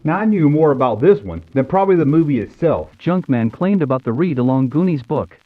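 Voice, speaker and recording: A man's voice: fairly high pitch, animated, brisk. An American man in his fifties narrating nostalgically in a scripted storybook style. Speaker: YouTube show host. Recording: tinny phone-like narrow-band sound.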